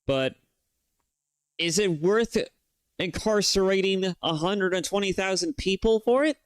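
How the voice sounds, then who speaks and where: A man from the United States rants and reflects in loose, casual commentary. His words come out full of swearing, "you know"s and restarts. His voice is clear and resonant, recorded close and dry with no room sound. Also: high-pitched, measured pace, no echo. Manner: opinionated and profane, with many fillers and restarts. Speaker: reaction video host.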